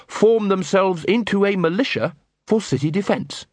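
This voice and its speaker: A man's voice: high-pitched, darkish tone, no room sound, pitched above the performer's own voice. A man voicing a young city watchman, earnest, literal-minded and formally polite, in an audiobook comic fantasy story. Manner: measured, formal, officious.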